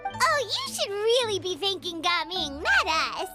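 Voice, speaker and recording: A woman voicing a small, eager, chatty childlike companion in a video game, with a squeaky voice. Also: very animated, unhurried, over music, full character voice. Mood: friendly. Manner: bubbly, informal, eager.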